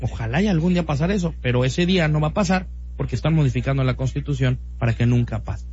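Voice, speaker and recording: A man's voice: medium pitch, quick pace, a little mellow. A man from Mexico with a Mexican accent, a radio guest making an emphatic, opinionated case full of rhetorical questions. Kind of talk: casual radio talk. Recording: dull lo-fi radio sound with music.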